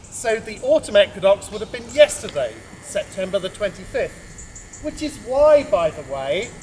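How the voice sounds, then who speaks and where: A man's voice: high voice, sing-song, moderate pace, darkish tone. A man, a priest, giving a chatty, explaining talk to a gathering and laughing at his own jokes. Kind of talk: informal address to a gathering. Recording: noisy outdoor ambience.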